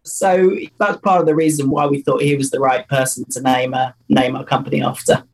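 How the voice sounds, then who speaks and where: A man's voice: medium pitch, flat delivery, brisk, a little hiss or hum, rough recording. A man, co-founder of a company, answering interview questions in an enthusiastic, loose way with many fillers.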